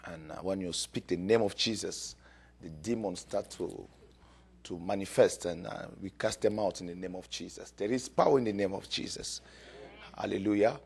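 A man from Congo, a guest pastor, sharing a fervent testimony in church, halting often with fillers. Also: sits low, gravelly, darkish tone, husky.

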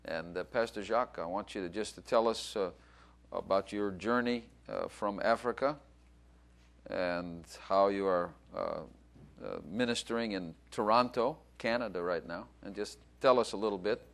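Man, pastor, somewhat low voice, urgent exhorting sermon, repeats phrases. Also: normal speed, gravelly.

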